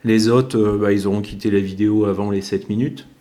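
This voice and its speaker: A man's voice: on the low side, fairly even intonation, brisk, mellow. A man talking casually to his viewers as he vlogs, chatty and informal, with wry joking remarks. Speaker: YouTube vlogger. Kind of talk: casual walk-and-talk vlog commentary.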